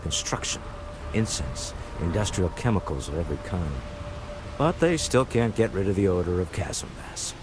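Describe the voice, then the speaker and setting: A low-voiced, worldly businessman companion in a video game, musing aloud with dry irony and a lively, rolling delivery. Game music plays underneath. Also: normal speed. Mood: wry musing. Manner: musing, dryly ironic.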